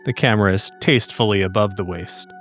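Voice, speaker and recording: A man playing a tabletop game, slowly narrating his character's scene in a measured, cinematic way. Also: fairly low pitch, a bit bright, faint music behind. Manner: slow, measured scene-setting. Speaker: player.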